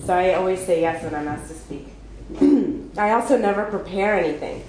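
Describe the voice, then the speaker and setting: A woman from Ethiopia giving an unprepared personal testimony at a recovery meeting, halting and candid with many fillers and restarts. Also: lowish voice, darkish tone, a little hiss or hum. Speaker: speaker sharing her story at a recovery meeting. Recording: echoey room with faint ambience.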